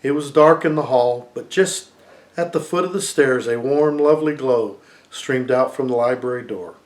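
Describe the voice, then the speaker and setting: A man's voice: medium pitch, moderate pace, low-quality recording. Man reading a story aloud expressively. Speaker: father reading a story to family.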